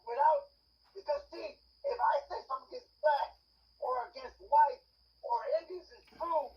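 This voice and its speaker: High-pitched emphatic woman, muffled and distant. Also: animated, moderate pace. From United States. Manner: emphatic, blunt, choppy bursts. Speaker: investigative reporter joining the discussion. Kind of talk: heated, informal opinion talk. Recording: muffled, distant audio with background noise.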